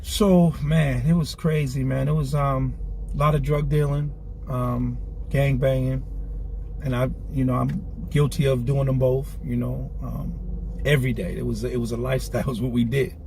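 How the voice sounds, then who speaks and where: A man's voice: mid-range voice, flat delivery, moderate pace, gravelly, a little mellow, slight background noise. A man in his forties talking in a candid interview in a car, earnest and streetwise, often repeating himself. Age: 40s. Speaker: clothing brand founder, guest. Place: inside a car.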